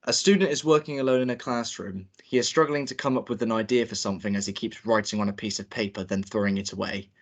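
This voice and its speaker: A man's voice: lowish voice, steady tone, fast talker, hardly any pauses. A young man informally directing a table read and narrating stage directions, a little hesitant. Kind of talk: informal hosting and script narration.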